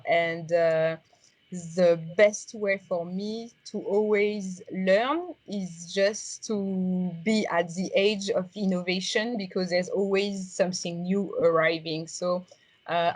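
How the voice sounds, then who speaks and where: A woman with a strong French accent talks through her answers in a somewhat low voice, stopping often with ums and uhs as she searches for words. The sound is dry and close, with no room echo. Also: a little mellow. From France. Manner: halting, many fillers and restarts. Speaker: interviewee. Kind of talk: reflective conversational interview.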